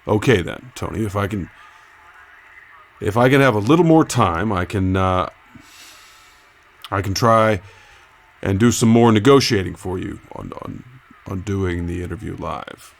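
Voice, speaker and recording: An actor playing a careful radio reporter in an audio drama, negotiating in a halting, reassuring, weary manner. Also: male voice, sits low, vocal fry. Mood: gentle, reassuring. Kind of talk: naturalistic audio drama acting.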